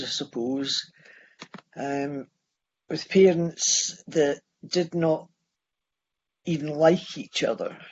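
A Scottish man in his sixties sharing his life story at a recovery meeting, halting and restarting as he goes. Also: on the high side, breathy edge, muffled recording. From Scotland. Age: 60s. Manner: halting, with many restarts and pauses. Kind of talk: personal testimony.

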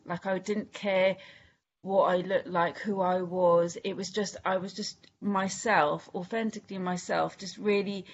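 Welsh woman, mid pitch, candid halting share, muffled video-call sound. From Wales. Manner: halting, candid, many restarts and fillers. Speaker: recovery meeting speaker. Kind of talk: personal share at a recovery meeting.

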